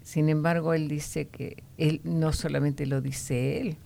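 Low, gravelly woman teaching the Bible. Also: fairly even intonation, warm tone. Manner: explanatory, often ending points with a questioning "no?"; unhurried, with frequent hesitation fillers.

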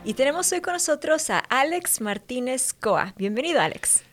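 A woman's voice: high voice, big pitch swings, normal speed. A woman hosting a conversational faith interview on radio, speaking with her guest. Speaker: radio show host.

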